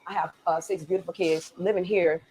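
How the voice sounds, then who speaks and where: A woman's voice: lowish voice, steady tone, fairly quick, darkish tone. A woman giving a personal account as a resident interviewed for a documentary. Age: adult. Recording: noisy documentary interview clip.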